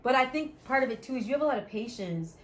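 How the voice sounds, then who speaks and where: Woman, thirties, vlog host, casual family chat, a little high-pitched. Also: lively intonation, fast talker. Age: 30s. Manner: chatty, talks to viewers as y'all. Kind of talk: casual chat while eating.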